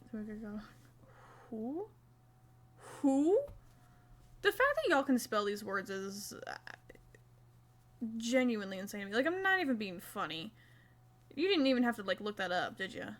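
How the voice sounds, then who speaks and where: A woman's voice: medium pitch, very animated, normal speed, long pauses. A young woman streaming live, chatting casually and self-mockingly while she crochets, swearing now and then.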